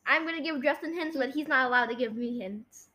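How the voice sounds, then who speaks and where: High bright young-sounding podcast host, bossy, casual game banter. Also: a little fast.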